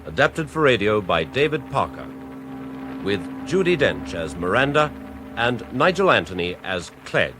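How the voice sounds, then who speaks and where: A man's voice: lowish voice, very animated, a little slow. A man reading scripted opening credits as the announcer of a radio drama. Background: music.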